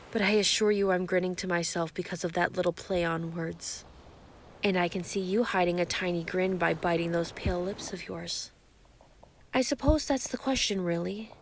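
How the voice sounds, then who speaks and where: A woman playing an ancient, giant female sea creature, calm and grandiose, flirting possessively in an intimate roleplay monologue. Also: medium pitch, a little hiss or hum, close to an everyday voice. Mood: playful, imposing. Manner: calm, teasing, grandiose, formal wording.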